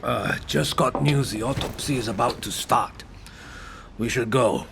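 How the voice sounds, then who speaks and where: A man's voice: medium pitch, measured pace, creaky, over music. An actor playing a jaded, sardonic middle-aged male senior detective in an audio drama, curt and dry. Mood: weary, businesslike.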